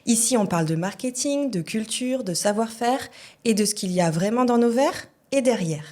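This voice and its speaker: A woman's voice: fairly high pitch, lively intonation, moderate pace, darkish tone. A woman hosting a podcast interview, asking questions and affirming with short backchannels. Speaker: podcast host.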